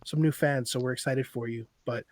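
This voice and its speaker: A man's voice: mid-range voice, fairly even intonation, a little fast. A man hosting a casual interview podcast, easygoing and appreciative as he talks with his guest. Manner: easygoing, appreciative wrap-up talk. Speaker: podcast host.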